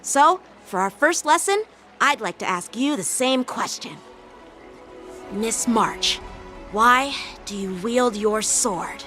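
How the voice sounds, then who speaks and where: A woman's voice: on the high side, expressive, slow pace, sharp tone, faint music behind, exaggerated voice. A woman voicing a polite, earnest teenage boy swordmaster in an English video game, patient, courteous and instructive.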